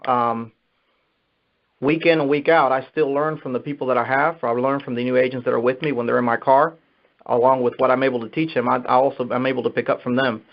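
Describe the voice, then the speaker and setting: A middle-aged man speaks as a sales manager giving motivational business advice on a conference call, repeating and restarting phrases in a halting way. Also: medium pitch, fairly even intonation, creaky. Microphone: telephone conference line. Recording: dull, muffled conference phone call.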